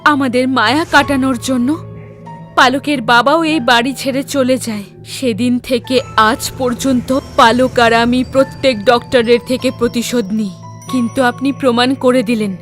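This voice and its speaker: An eerie female ghost from a horror cartoon, played by a woman, with a high voice. She is a beautiful, sorrowful mother who speaks in a measured way over faint music. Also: fairly even intonation, lightly acted. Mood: bitter, grave.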